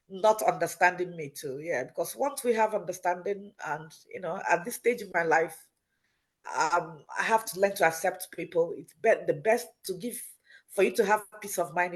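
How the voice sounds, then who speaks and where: A woman who talks loudly and stammers, a guest in a casual online discussion. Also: fairly low pitch, breathy edge. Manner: loud talker with a stammer. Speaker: panel guest. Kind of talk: casual discussion on a video call. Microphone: video call.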